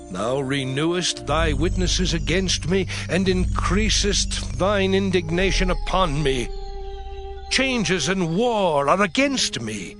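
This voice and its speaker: A man voicing Job, anguished and pleading. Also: on the high side. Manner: lamenting, solemn King James delivery. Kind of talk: dramatized scripture reading. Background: music.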